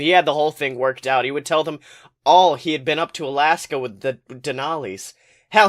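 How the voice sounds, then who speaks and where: A man podcast host reading fan fiction aloud as expressive, colloquial story narration. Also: medium pitch.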